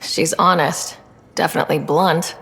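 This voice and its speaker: A woman's voice: deep voice, steady tone, moderate pace, creaky, fairly bright tone, big-room sound. An actress playing a young, curious, easygoing space explorer in a video game, casual and direct in her questions. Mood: friendly, curious. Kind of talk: video game character dialogue.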